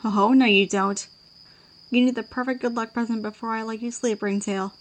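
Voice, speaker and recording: A woman's voice: a little high, steady tone, moderate pace, close to an everyday voice, low-quality recording. A woman playing a playful, affectionate female adventurer in an audio drama. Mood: flirty, teasing.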